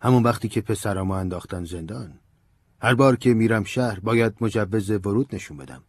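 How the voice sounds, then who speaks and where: A man voicing an old male priest who speaks in a gentle, preaching, earnest manner. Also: fairly low pitch, breathy edge, no room sound. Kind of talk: audiobook character voice.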